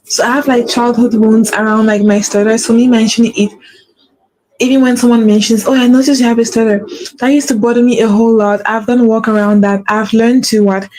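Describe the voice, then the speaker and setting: A woman's voice: fairly high pitch, level tone, a little fast, darkish tone, poor audio. A woman with a stutter hosting a faith-based motivational talk, repeating her key phrases for emphasis. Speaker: podcast host.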